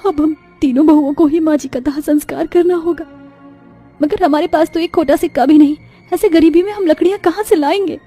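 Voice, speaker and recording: A woman's voice: high voice, brisk, mellow, soft background music, voice pushed up, natural voice. A woman voicing a poor young village daughter-in-law in a cartoon story, earnest and pleading in a dramatic, plaintive way. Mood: tearful grieving. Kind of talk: Hindi moral cartoon story acting.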